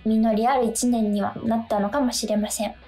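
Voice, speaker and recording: A woman's voice: on the high side, level tone, moderate pace, warm tone. A woman co-hosting a radio show, chatting casually in an agreeable, attentive way. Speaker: actor. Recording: music behind the talk. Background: background music.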